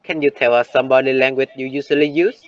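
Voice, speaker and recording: A teenage boy with a Vietnamese accent hosts a school talk show at a middle pitch. He reads his prepared lines in a steady presenter manner. The sound is a little dull and lo-fi. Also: fast talker. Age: late teens. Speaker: host of a class talk show. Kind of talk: scripted classroom talk-show hosting.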